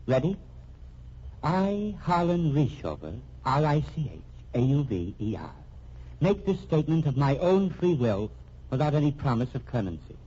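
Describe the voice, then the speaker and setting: An actor playing an earnest accused man dictating a formal, deliberate statement in a vintage radio mystery, husky-voiced. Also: on the high side, very animated, dark tone. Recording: muffled old radio recording.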